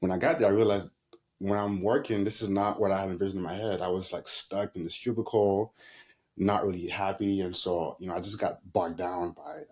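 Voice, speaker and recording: A man in his thirties from Haiti, a guest answering interview questions in a relaxed, storytelling way. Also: low-pitched. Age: 30s. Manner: relaxed, storytelling, laughs easily.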